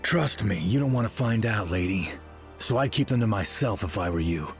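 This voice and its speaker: An actor playing a guarded, defiant former assassin in a video game, raspy, terse and threatening. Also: male voice, fairly low pitch, animated, moderate pace, soft background music. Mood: guarded, menacing. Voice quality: husky, raspy.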